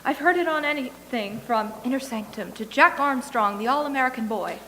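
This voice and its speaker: Woman playing a bright, high-voiced brisk radio producer in an echoey hall. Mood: impatient, dismissive. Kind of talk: old-time radio comedy acting on stage. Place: stage in a hall, live audience performance. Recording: echoey hall reverb, hissy background noise.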